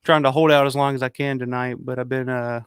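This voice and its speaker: A man hosting a casual livestream, hoarse from a cold, rambling and swearing as he reads viewer comments. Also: mid-range voice, flat delivery, fast, fairly bright tone, dry recording, clean studio sound. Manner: rambling, profane, frequent restarts. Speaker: livestream host.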